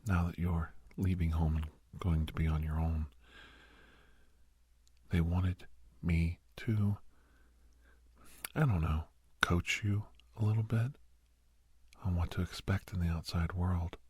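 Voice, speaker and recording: A gentle doctor, played by a man, speaks in a low, very husky voice. He takes his time, pausing hesitantly as he reassures a nervous young listener. The delivery is soft, breathy ASMR roleplay that often slips toward a whisper. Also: steady tone, dry recording, heavily acted. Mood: reassuring. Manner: soothing, hesitant, halting pauses.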